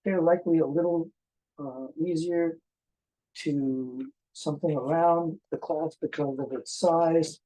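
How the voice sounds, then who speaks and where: An excitable person presenting film finds in a casual unboxing chat, with joking asides and exclamations. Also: mid-range voice, measured pace, rough recording.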